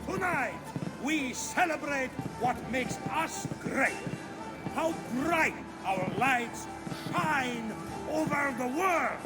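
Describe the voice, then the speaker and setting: Over loud music, a grandiose Venetian ruler with a very high voice and an Italian accent. He declaims slowly and pompously, as a game character addressing his people. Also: animated, heavily acted. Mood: exultant, proclaiming. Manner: declamatory, pompous public oratory. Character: grandiose Venetian Doge addressing carnival crowds. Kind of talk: video game voice acting.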